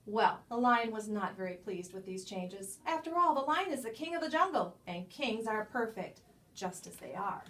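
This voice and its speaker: A woman reading a children's picture book aloud as a storyteller, with expressive, emphatic delivery and dramatic pauses. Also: mid-range voice. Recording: distant microphone.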